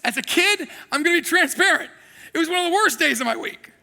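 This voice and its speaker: A man preaching a sermon as a pastor, telling animated, self-deprecating stories from his childhood in Thailand. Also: very high-pitched, quick pace, gravelly. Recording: long hall reverb.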